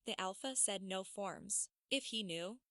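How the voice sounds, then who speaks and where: A man voicing an exasperated young female werewolf, hushed and indignant as if scolding, in a fantasy audiobook. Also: high-pitched, a little slow, no echo, studio quality, pitched above the performer's own voice, exaggerated voice.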